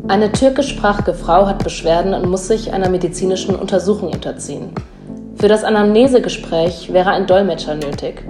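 A woman reading example scenarios aloud in an even, read-aloud manner. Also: mid-range voice, fairly quick. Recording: roomy sound with music underneath.

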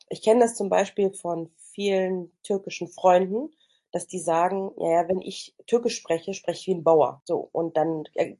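A woman's voice: lowish voice, dark tone. A Russian-born woman in her thirties talking frankly about her life in an interview. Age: 30s. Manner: frank, conversational with fillers. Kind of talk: personal account.

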